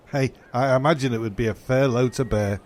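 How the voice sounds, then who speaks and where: Comedy audio drama: male actor as sardonic, opinionated know-it-all mate, mid-pitched, exasperated, faint ambient noise with honking birds. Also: normal speed, darkish tone, natural voice. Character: indignant, sardonic male mate named John, correcting others.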